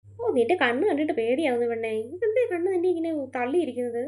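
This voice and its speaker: A high-pitched, quick-talking mother, acted out by a woman, who nags and scolds her sleepy daughter. She fusses constantly and hurries along. It sounds like a home-made family skit. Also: big pitch swings, warm tone. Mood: fussing. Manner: fussy, nagging, scolding. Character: busy mother who runs a beauty parlour.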